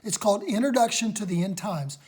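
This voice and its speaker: Raspy-voiced woman leading a casual home Bible study, emphatic and excited. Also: mid-range voice, brisk. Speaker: Bible teacher. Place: home, recorded on a phone.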